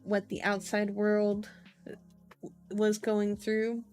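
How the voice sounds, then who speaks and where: A woman's voice: medium pitch, completely flat delivery, drawn-out words, darkish tone. Playful woman in an ASMR reading, music behind. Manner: playful asides, repeats words for fun. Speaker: ASMR host reading a novel aloud. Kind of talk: ASMR book reading with casual commentary.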